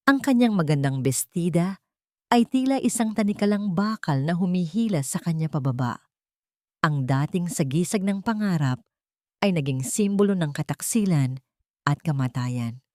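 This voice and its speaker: Low-voiced woman narrating a dramatic story. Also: darkish tone, no room sound, studio quality. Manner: deliberate, suspenseful storytelling with short dramatic phrases. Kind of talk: dramatic scripted narration of a romance thriller story.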